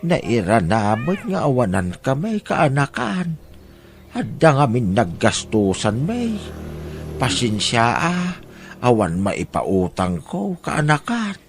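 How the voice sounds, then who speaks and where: A man's voice: medium pitch, sing-song, slow. A man playing an apologetic village uncle in an audio drama, with a drawling delivery and an Ilocano accent. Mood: regretful. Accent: Filipino, Ilocano. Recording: music under the voice.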